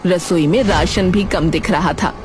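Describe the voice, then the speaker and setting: A woman's voice: lowish voice, unhurried, soft background music. A woman narrating a scripted cartoon story.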